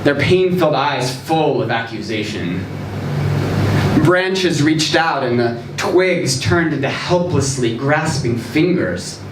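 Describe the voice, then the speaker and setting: A man reads a short story aloud at a live event, taking his time with deliberate, dramatic phrasing. His mid-pitched voice is picked up from a distance in an echoey room, with clear background noise. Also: expressive. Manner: deliberate, theatrical delivery with dramatic pauses.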